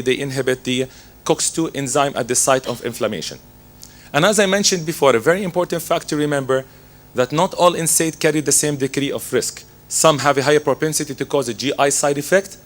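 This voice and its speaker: A man with laryngitis, hoarse, giving a methodical medical lecture as a pharmacist, working through his slides. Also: on the high side, a bit bright.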